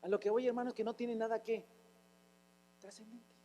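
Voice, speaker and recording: A man's voice: sits high, level tone, fairly quick, warm tone, big-room sound, dull audio. A man, preaching a fervent sermon as a pastor, asking rhetorical questions and breaking into sudden exclamations. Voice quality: raspy, rough voice.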